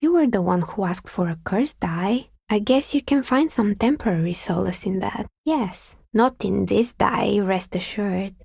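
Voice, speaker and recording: An actress playing a wry, pragmatic woman dicemaker in a video game, speaking in a measured, matter-of-fact way with a husky voice. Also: mid-range voice, dark tone, no echo, studio quality. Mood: calm, businesslike. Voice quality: husky, breathy with some creak. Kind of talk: video game character dialogue.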